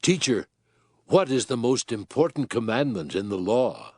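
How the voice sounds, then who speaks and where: A man with a husky voice playing a learned Pharisee who tests a teacher, in an audio Bible drama. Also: medium pitch, sing-song, normal speed, darkish tone, no echo, studio quality. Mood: testing. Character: learned Pharisee law expert testing a teacher.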